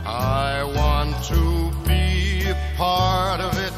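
A woman singing a show tune slowly, drawing out each line. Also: fairly low pitch, flat delivery, loud music behind the voice. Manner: drawn-out sung lines. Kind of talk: slow karaoke singing.

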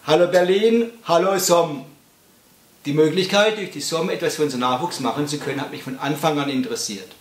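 A man's voice: a little high, fairly quick. Man in his fifties, music store owner, hearty, emphatic, echoey room. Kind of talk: video greeting. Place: guitar shop, indoors.

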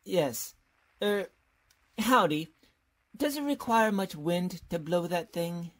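A woman's voice: on the low side, slow pace. A woman playing a bumbling, hesitant male college professor in an old-time style radio comedy. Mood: hesitant, polite. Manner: hesitant, dithering.

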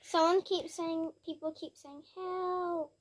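A woman's voice: extremely high pitch, steady tone, slow. A young girl chatting casually while playing a video game, giggly and talking to her viewers. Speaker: gamer. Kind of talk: casual gameplay chat.

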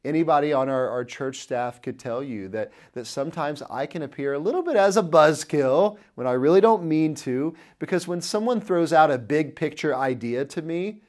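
A man's voice: a little high, darkish tone. A man in his thirties preaching a sermon to camera, earnestly telling a personal story while gesturing, recorded in an indoor studio on a lapel mic.

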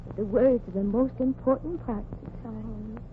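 A woman's voice: fairly high pitch, moderate pace, lightly acted. An actress playing a kindly, naive small-town woman in a 1940s radio comedy, earnest and gushing. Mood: eager. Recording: muffled, noisy 1940s radio recording.

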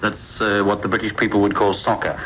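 A man's voice: on the low side, flat delivery, fairly quick, a bit bright. A man hosting a listener feedback show on radio, recorded off a noisy 1980s shortwave broadcast. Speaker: radio host.

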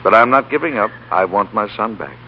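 Male actor as humbled tycoon, middle-aged, deep, emphatic, muffled vintage radio play. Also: lively intonation, a little fast, natural voice. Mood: humbled, resolute. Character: humbled, determined middle-aged businessman father. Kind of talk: 1930s radio play acting. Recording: muffled, noisy 1930s radio broadcast.

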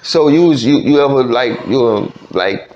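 A man's voice: mid-range voice, lively intonation, moderate pace, darkish tone, muffled recording, a little hiss or hum. A man in his thirties with a Southern US accent, hosting a casual podcast interview in a studio. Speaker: podcast host.

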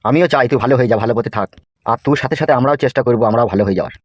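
A man's voice: medium pitch, very fast, darkish tone, dry recording, dull audio, natural voice. A man playing a bossy, scheming, foul-mouthed gangster politician in a comedy cartoon. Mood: falsely kind. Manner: bossy, slangy, mock-sweet when coaxing.

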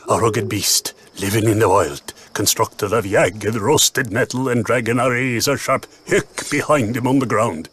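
A man voicing a male car who tells a spooky tale in slow, dramatic storytelling. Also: lowish voice, fairly bright tone. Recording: hissy background noise.

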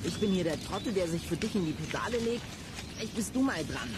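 A man's voice: sits high, animated, fast, heavy background noise. A man playing a clever, sarcastic boy detective in a children's audio drama, speaking in a pedantic, dry way. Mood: exasperated.

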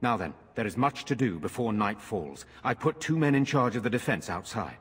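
An actor playing a grave, courteous noble lord in a fantasy game, speaking formally and earnestly in a reverberant stone hall. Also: male voice, lowish voice, big pitch swings, moderate pace, breathy edge, faint music behind. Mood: grateful, businesslike. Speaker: game character. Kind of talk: dramatic fantasy game acting.

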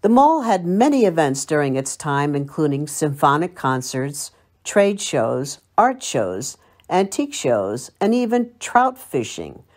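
A woman's voice: sits low, big pitch swings, a little slow, a little mellow. An older woman with an American accent, from Long Island, narrating a scripted local history piece in a reminiscing, storytelling manner. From Long Island, United States. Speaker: library local history presenter.

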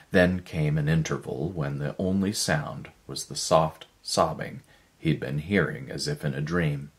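A man in his fifties narrates a dramatic story. His voice is extremely low, and he takes his time, reading slowly and deliberately. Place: small living room with a stove.

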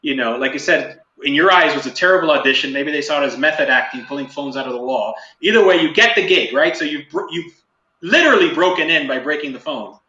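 A man hosting a casual interview over a video call, encouraging his guest with quick affirmations as he asks questions. His voice is sharply bright and a little high. The call audio is roomy and dull. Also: animated. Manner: encouraging, frequent short affirmations. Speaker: interview host. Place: home room with a green wall, video call.

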